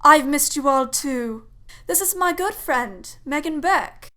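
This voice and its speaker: Bright, high-voiced friendly young woman acted by a woman. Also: lively intonation, takes it slowly, full character voice. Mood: cheerful. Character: friendly, teasing young woman who minds children. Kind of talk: amateur audio drama acting.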